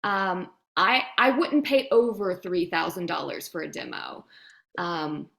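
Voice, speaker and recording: A woman's voice: on the low side, measured pace, vocal fry, fairly bright tone. A woman guest speaking as a voice demo producer, giving casual advice in an interview chat and acting out imagined reactions.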